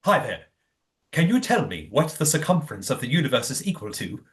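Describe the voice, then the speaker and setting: Audio play role, performed by an actor: sweetly naive, genteel old hotel keeper, excitable, very animated delivery. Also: medium pitch, brisk, lightly acted. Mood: polite, flustered. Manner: genteel, old-fashioned exclamations.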